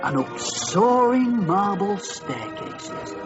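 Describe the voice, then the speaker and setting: A man narrating a radio play in a measured storytelling manner. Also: high-pitched, big pitch swings. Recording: dull lo-fi radio recording, music behind.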